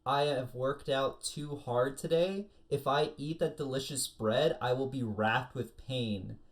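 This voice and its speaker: A man's voice: medium pitch, measured pace. A man co-hosting a casual discussion, explaining things in a rambling, conversational way. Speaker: podcast co-host. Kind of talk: casual discussion of food anime.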